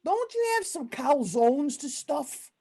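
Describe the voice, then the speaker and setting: A woman's voice: high voice, big pitch swings, takes it slowly, dark tone, full character voice. A woman playing an irritable, bossy Italian-American male mob boss in an amateur old-time radio comedy play, talking like an exasperated tough guy. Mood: defensive. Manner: gruff, bossy, exasperated wiseguy talk.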